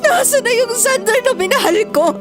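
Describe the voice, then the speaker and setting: An actress playing an anguished, wronged wife in a melodramatic audio drama, tearful, emphatic and drawn-out in her delivery. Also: extremely high pitch, expressive. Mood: hurt, reproachful. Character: anguished, wronged young wife and mother, pleading and reproachful. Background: prominent dramatic music.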